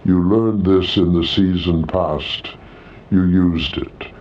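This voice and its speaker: A grave, formal alien bureaucrat played by a man in a sci-fi audio story. The voice sits low and moves slowly, in terse official statements. The recording is slightly dull. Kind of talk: scripted audio story character dialogue.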